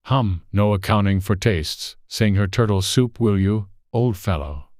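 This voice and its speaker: A man voicing a gruff, bossy, impatient beast in a storybook, brusque and commanding. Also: on the low side, unhurried, darkish tone, no echo, clean studio sound, lightly acted. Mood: offended.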